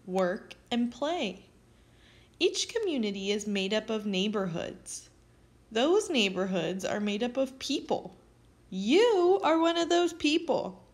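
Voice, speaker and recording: A woman reading aloud as a teacher, with expressive, carefully phrased delivery aimed at young children. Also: sits high. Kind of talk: children's read-aloud narration.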